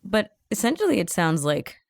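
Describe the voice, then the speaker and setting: A woman's voice: medium pitch, big pitch swings, normal speed, vocal fry, no room sound, studio quality. A woman co-hosting a review podcast in a casual, chatty style. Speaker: podcast review show co-host. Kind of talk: casual chat.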